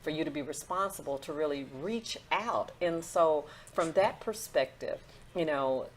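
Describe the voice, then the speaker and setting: A woman's voice: low-pitched, animated, unhurried, a little hiss or hum. A woman who is a pastor, hosting an interview and affirming her guest often. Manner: affirming, talkative, many "mm-hmm"s. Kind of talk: interview host.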